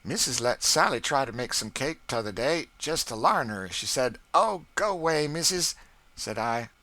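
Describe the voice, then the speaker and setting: A man's voice: medium pitch, lively intonation, moderate pace, pushed and bright, dry recording, pitched above the performer's own voice. A man voicing a bossy, proud older woman cook who scolds and boasts in thick folksy dialect. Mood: bustling proud. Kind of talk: audiobook character voice.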